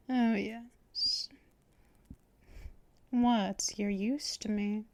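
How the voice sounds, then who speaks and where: A possessive, teasing female vampire mistress, played by a woman in an ASMR roleplay. She talks very slowly in breathy half-whispers, close to the microphone, with a fairly high voice and a dry, close sound. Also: a little mellow, no room sound. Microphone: close microphone for headphone listening.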